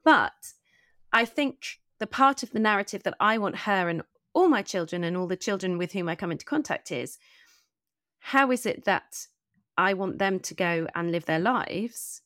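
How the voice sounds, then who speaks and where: A woman's voice: medium pitch, lively intonation, moderate pace, a bit bright, dry recording. Earnest woman interviewer, dry close sound. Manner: articulate, earnest, builds long considered sentences. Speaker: podcast host and interviewer. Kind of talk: conversational interview.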